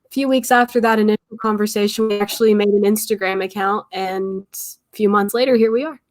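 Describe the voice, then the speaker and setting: A woman's voice: mid-range voice, fairly even intonation, brisk. A woman in her twenties from the United States, a college student and podcast guest who chats lightly and laughs easily. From Missouri, United States. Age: 20s. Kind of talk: casual interview chat.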